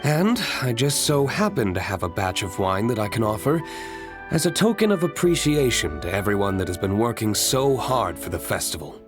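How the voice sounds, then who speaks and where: An actor playing a terse but courteous young winery master in a video game, composed and matter-of-fact. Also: male voice, fairly low pitch, very animated, normal speed. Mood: curt, dry. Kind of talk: scripted video game voice acting. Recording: background music under dialogue.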